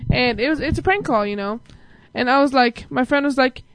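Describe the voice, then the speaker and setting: A woman's voice: high voice, a little fast, slight background noise. A young woman hosting an online talk stream, rambling through silly stories with lots of giggling. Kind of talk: rambling casual chat.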